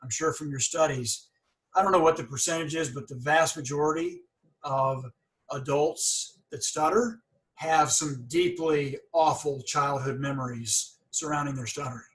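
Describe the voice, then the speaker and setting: A man's voice: fairly high pitch, moderate pace, fairly bright tone, low-quality recording. A man in his forties hosting a podcast, talking candidly in a casual interview with frequent stuttering blocks and repeated syllables. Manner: candid and earnest. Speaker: podcast host.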